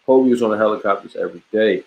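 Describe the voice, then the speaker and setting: A man talking as an interview guest in a relaxed, emphatic way, full of slang, restarts and boasts. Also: fairly low pitch, fast talker, creaky, narrow, tinny audio. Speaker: podcast host, guest on the show. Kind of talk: casual interview chat.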